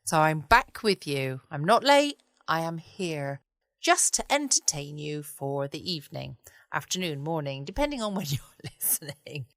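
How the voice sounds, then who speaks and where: A dry, close recording of a woman. She hosts a bedtime podcast, chatting and rambling casually in a slightly low, relaxed voice. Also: a little slow, dry recording. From England. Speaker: podcast host. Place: at home indoors, quiet.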